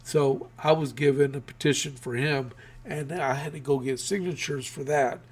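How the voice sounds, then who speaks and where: Texas guy doing his political show, voice going hoarse, husky, lots of uh and so. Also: mid-range voice, steady tone, normal speed. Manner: rambling, many fillers and restarts. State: losing his voice. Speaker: political news show host. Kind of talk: casual political commentary.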